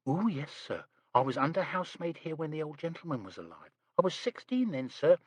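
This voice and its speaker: A man's voice: a little high, sing-song, fairly quick, slightly rough, dry recording. A male narrator voicing a nervous, chatty young English housemaid who is prim and says sir constantly. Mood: chattering.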